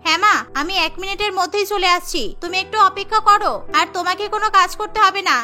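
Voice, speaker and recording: A woman's voice: very high-pitched, steady tone, quick pace, bright, forceful tone, soft background music, full character voice. A woman voicing a spoiled, crafty elder daughter-in-law in a cartoon, theatrical and whiny in manner. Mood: sly, sweet.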